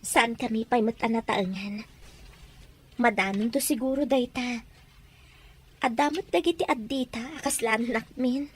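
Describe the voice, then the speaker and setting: A woman playing a good-humoured village wife in an audio drama, with a breathy voice. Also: a little high, a little slow, long pauses. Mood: light, teasing. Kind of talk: Ilocano audio drama acting.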